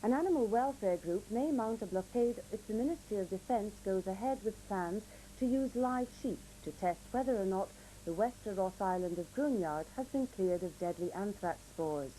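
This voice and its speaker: A woman newsreader delivers a scripted regional bulletin in a mellow, mid-pitched voice. She is formal and measured, recorded on an old off-air videotape with faint noise. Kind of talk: scripted regional news and weather reading. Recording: noisy old VHS off-air tape.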